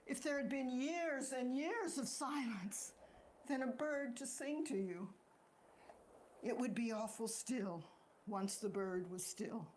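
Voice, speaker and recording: A woman playing a grieving, remorseful and quietly defiant farm wife in a stage play. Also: a little high, takes it slowly. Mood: sombre. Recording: noisy amateur recording.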